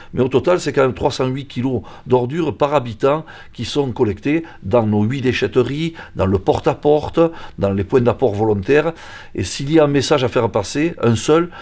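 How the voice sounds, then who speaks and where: A man speaking as a local official, presenting public services in an explanatory way, measured and hesitant as he searches for words. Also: medium pitch, darkish tone. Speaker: local official in charge of environment. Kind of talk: semi-prepared explanatory presentation.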